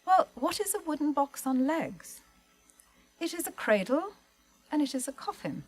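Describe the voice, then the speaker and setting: A woman speaking as a panellist, giving a prepared literary talk in an articulate, formal way with measured phrasing. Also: high-pitched, sing-song. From migrated to Australia, lived in Melbourne.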